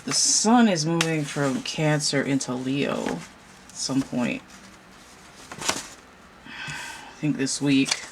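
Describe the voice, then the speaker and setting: A woman hosting a casual tarot reading vlog at home, talking in a halting, meandering way with a stuffy nose. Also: low voice. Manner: halting, many fillers, slow and meandering. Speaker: tarot reader and channel host. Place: home room with a fan running. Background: fan noise.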